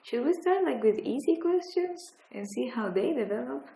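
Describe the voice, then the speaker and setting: A woman's voice: high voice, lively intonation, normal speed, creaky, warm tone. A woman interviewing a guest, asking open questions casually and often saying "like". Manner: casual, searching, leans on "like". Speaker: interviewer, documentary maker. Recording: echoey room.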